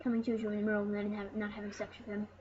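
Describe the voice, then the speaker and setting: An excitable, rambling host giving casual, jokey commentary over a video game. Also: medium pitch, rapid-fire. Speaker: host of a gaming channel. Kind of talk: casual let's play commentary. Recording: dull lo-fi mic with loud noise.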